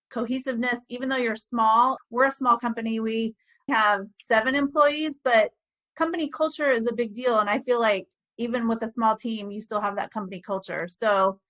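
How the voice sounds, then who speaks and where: A woman hosts a podcast in a casual, effusive way, heaping praise on her guest. Her voice is bright and a bit sharp, pitched somewhat high. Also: low-quality recording. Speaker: podcast host.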